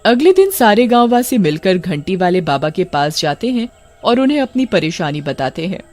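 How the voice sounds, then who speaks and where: Storybook narrator, even delivery, faint music behind. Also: mid-range voice, normal speed. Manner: steady, matter-of-fact telling.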